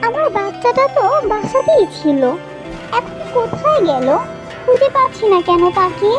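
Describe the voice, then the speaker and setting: A woman's voice: very high voice, big pitch swings, normal speed, music in the background. A woman voicing a loving, anxious mother bird in a cartoon, with a tender, plaintive way of speaking. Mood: worried, calling. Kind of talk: cartoon voice acting.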